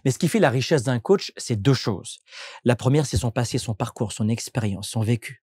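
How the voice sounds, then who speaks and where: Husky French man explaining thoughtfully. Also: mid-range voice, moderate pace, a little mellow, no echo. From France. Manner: reflective, explanatory, frequent restarts. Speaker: coach and entrepreneur, guest. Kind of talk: conversational interview answers.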